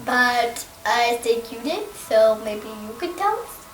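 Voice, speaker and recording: Brief: high-voiced female student, slow and thoughtful, echoey room. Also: big pitch swings, a little hiss or hum. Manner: thoughtful, hesitant with fillers. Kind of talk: casual classroom discussion. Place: home.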